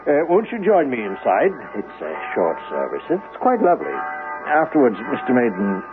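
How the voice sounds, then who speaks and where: An actor playing an elderly, gentle, wistful minister in a 1970s radio drama, speaking in a courteous, hesitant, halting way. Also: male voice, mid-range voice, wildly swooping pitch, over music. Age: older. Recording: muffled lo-fi radio recording with faint noise.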